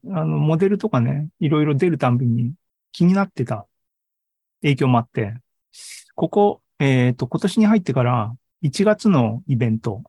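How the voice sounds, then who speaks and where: A man's voice: mid-range voice, dark tone, husky, no room sound. A man giving an informal tech presentation in an online session, talking conversationally with frequent little tags and asides. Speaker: presenter at an AI hobby forum and podcast host. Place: online Zoom live session.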